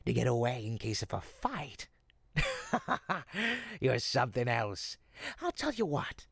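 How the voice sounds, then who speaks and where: A performer voicing a sly, smooth-talking goblin potion peddler in an audio roleplay, with folksy, teasing salesman patter. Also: medium pitch, huge pitch swings, slow pace, fairly bright tone, no room sound, clean studio sound, full character voice. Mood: confiding, curious. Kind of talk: audio roleplay, in-character monologue to listener.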